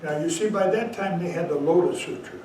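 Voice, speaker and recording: A man with a fairly high voice tells a Zen teaching story, leaning hard on key words. He sounds far from the mic, his voice ringing through a very echoey hall with faint room noise. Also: fairly quick, darkish tone. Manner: storytelling, bursts of emphasis. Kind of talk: dharma talk.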